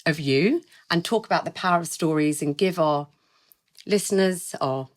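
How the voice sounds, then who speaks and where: Brief: woman podcast co-host, slightly low voice, relaxed and playful. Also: moderate pace. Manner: chatty, playful, giggly. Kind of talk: casual podcast interview.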